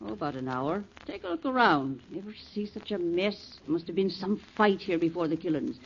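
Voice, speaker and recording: An actress playing a brusque, folksy, wisecracking cleaner in a 1940s radio drama. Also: female voice, fairly low pitch, sing-song, moderate pace. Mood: wry, brusque. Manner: brusque, folksy, sing-song. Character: wisecracking, unhurried cleaner who sings while scrubbing. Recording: muffled, hissy 1940s radio recording.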